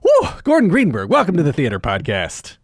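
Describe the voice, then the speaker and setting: A man's voice: on the high side, huge pitch swings, brisk, dry recording, clean studio sound. A man hosting a podcast, chatty and effusive as he introduces and interviews in an upbeat style. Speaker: podcast host.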